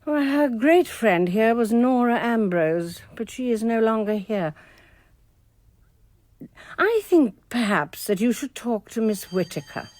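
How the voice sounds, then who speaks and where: An actress playing a composed, authoritative headmistress, speaking formally and discreetly in a radio mystery drama. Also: female voice, a little high, expressive, a little slow, a little mellow, dry recording. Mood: composed, reserved.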